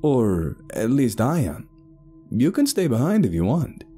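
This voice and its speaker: Guy doing a cocky mysterious traveler in a story, boastful, pitched up from his normal talk. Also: wildly swooping pitch, measured pace, mellow, breathy edge, soft background music, full character voice. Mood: matter-of-fact.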